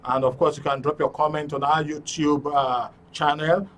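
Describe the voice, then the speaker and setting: A man's voice: fairly high pitch, fairly even intonation, moderate pace, a bit bright. A Nigerian man hosting a live call-in programme, speaking courteously with frequent hesitations. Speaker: radio and TV show host. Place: broadcast studio. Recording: distant mic, noticeable background noise.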